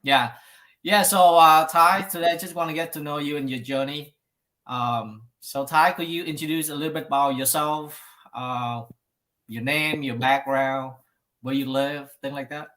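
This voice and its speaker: Halting man hosting an interview. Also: on the high side, fairly even intonation, sharp tone. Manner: halting, many fillers. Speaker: podcast host.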